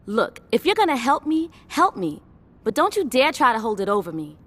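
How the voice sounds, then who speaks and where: A famous young singer in a crime game cutscene, proud and quick to snap back. Her voice sits a little high and moves freely in pitch. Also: sing-song. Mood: defiant. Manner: sharp-tongued and exasperated. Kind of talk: video game cutscene acting.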